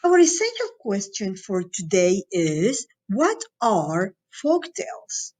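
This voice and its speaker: Female teacher for a recorded school lesson, unhurried delivery, medium pitch, encouraging and instructional, checks in with listeners. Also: big pitch swings, a little mellow. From Latin America. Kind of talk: recorded lesson addressed to students.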